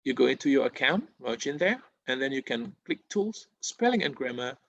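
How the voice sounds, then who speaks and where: A man's voice: mid-range voice, very animated, moderate pace, vocal fry, dry recording, highs cut off. A man in his thirties whose first language is Japanese, presenting a screen-share tutorial in a webinar, with hesitant speech full of fillers and restarts, recorded on a laptop mic over a video call at home. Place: indoor room at home.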